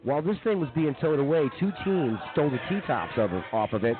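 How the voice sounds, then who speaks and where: A man's voice: a little high, big pitch swings, a little fast, over music. Rambling male radio co-host. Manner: rambling, hesitant storytelling. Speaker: co-host, police news segment. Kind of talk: casual radio chat. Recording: tinny phone-like sound.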